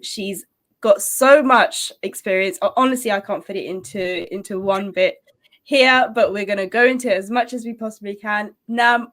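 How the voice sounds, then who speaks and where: A woman's voice: mid-range voice, a bit bright. A woman hosting a podcast, reading a guest introduction and chatting with her guest. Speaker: podcast host. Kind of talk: podcast interview.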